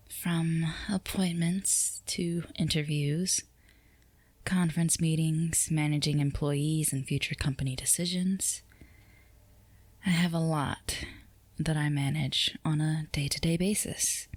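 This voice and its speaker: Woman playing female boss, low pitch, flirty, composed, formal, unhurried teasing delivery, ASMR roleplay, dry sound. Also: darkish tone, dry recording. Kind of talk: scripted ASMR roleplay addressed to the listener.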